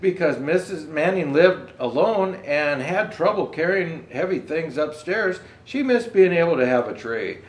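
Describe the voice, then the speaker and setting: Male children's storyteller, highish voice with animated swings, halting read-aloud delivery with asides about pictures, roomy echoing recording. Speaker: storyteller presenting as Santa Claus.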